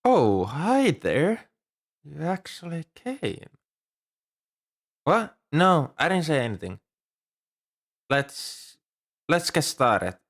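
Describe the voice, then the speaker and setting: A man's voice: a little high, big pitch swings, drawn-out words, long pauses, fairly bright tone, full character voice. A man performing an ASMR roleplay as a shy, earnest young student librarian, speaking in a hesitant, helpful and apologetic way. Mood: flustered. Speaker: amateur audio roleplay performer. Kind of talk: ASMR roleplay, scripted one-sided dialogue.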